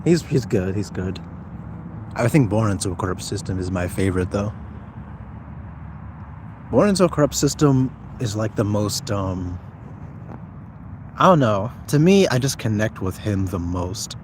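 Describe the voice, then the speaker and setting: A man's voice: on the low side, animated, measured pace, long pauses, noisy background. A young man talking casually on a livestream, informal and slangy, thinking aloud as he answers viewers. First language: Somali speaker, as second language. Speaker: YouTuber live-streaming.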